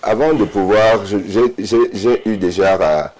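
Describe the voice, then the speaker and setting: A man's voice: on the low side, a little slow, darkish tone. A man, a guest speaking emphatically in a studio debate, often repeating words as he starts. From Cameroon. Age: 50s. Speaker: panel guest.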